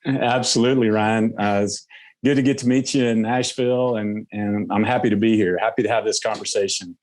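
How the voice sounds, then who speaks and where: Low-voiced earnest male podcast guest. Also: steady tone, fairly quick, darkish tone. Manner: earnest, reflective, with "um" fillers. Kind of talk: conversational interview answers.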